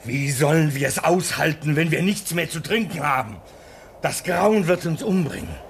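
A man's voice: a little high, expressive, normal speed, creaky, a little hiss or hum. An actor in a German radio play, playing an embittered, homesick man stranded in the Arctic, brooding and anguished. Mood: dread. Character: embittered, homesick husband stranded at a polar station.

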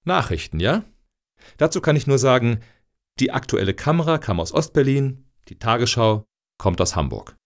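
An actor in a satirical radio play as a wry contrarian troublemaker, provoking dryly with feigned innocence. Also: male voice, medium pitch, big pitch swings, normal speed. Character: wry contrarian troublemaker among grant applicants.

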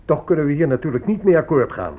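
Smug bigoted govt negotiator in a radio drama, bit rough voiced, talks down to everyone, muffled sound. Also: male voice, fairly high pitch, sing-song, fast talker. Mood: indignant, scornful. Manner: pompous, patronising.

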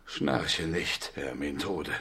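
A man's voice: extremely low pitch, fairly even intonation, takes it slowly, noisy background. An actor playing a grumpy, threatening king with a heavy voice in a German children's radio play.

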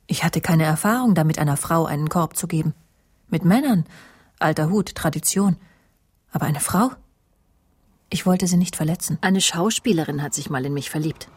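Heisere, stolze, scharfzüngige Frau. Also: female voice, deep voice, normal speed, a little mellow, breathy edge. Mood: cool, disdainful. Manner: dry, sardonic, self-assured. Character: proud, sharp-tongued woman TV presenter narrating her story. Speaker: actress, lead and narrator in radio play. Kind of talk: German radio crime drama, intimate first-person narration and dialogue.

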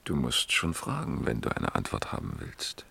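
Ein Schauspieler spielt im Hörspiel einen aufgewühlten, angetrunkenen Ehemann in natürlichem Dialogton. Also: male voice, extremely low pitch, huge pitch swings, normal speed, vocal fry, bright, forceful tone, slightly rough, full character voice. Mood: subdued. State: tipsy. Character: troubled, tipsy married man, adult, easily upset. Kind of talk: naturalistic German radio drama dialogue.

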